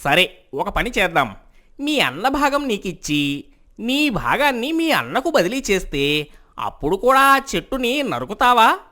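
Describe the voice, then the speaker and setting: A shrewd royal minister played by a man for an animated moral story. He is respectful yet authoritative, with a bright, forceful edge and lively pitch as he questions in a deliberate way. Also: full character voice. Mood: probing.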